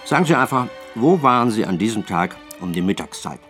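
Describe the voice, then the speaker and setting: An actor playing a senior police detective in a radio play, questioning with dry, formal politeness. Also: male voice, lowish voice, very animated, fairly quick, music in the background. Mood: pressing, dry. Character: senior police detective, probing, dryly sarcastic interrogator.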